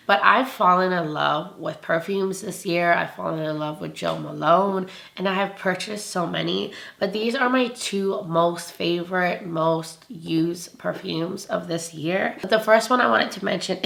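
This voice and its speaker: Hoarse, gravelly-voiced young woman vlogger. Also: mid-range voice, a bit bright. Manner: bubbly, gushing, laughs often. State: hoarse from laryngitis. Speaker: beauty vlogger. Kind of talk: casual product review to camera.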